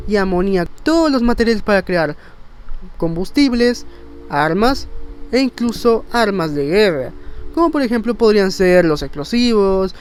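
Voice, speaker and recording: A man's voice: high-pitched, a little hiss or hum. A man narrating a video essay with personal opinions, in an emphatic, rhetorical way with dramatic stress on key words. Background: faint music.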